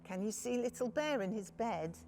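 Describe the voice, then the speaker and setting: A woman's voice: a little high, animated, normal speed, darkish tone, a little hiss or hum. A woman reading a picture book to young children, phrasing things simply and speaking to her listeners directly. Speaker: children's storyteller.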